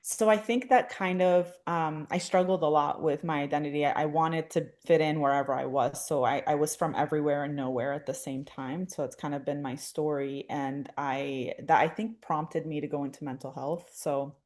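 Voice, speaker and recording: A woman's voice: sits low, fairly even intonation, normal speed, few pauses, a little mellow. A young adult woman from Syria, a therapist, talking in a reflective, casual way with many fillers and restarts. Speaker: therapist, dance instructor guest. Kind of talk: casual interview conversation.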